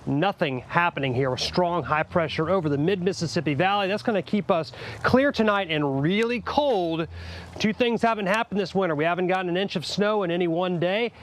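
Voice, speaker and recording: High-voiced chatty male weatherman. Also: brisk. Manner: chatty, relaxed, with fillers. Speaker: TV meteorologist. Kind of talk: live weather forecast.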